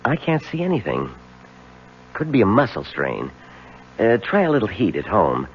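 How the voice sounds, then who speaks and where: An actor playing a reassuring, matter-of-fact doctor in a 1970s radio drama, with a clinical manner. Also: male voice, fairly low pitch, huge pitch swings, normal speed, music in the background. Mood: reassuring, brisk. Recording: dull 1970s radio recording.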